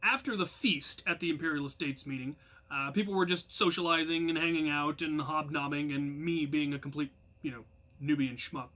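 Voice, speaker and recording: Bright, high-pitched man telling stories. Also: fairly even intonation, a little fast, a little hiss or hum. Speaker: storyteller, channel host. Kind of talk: casual anecdotal storytelling to viewers.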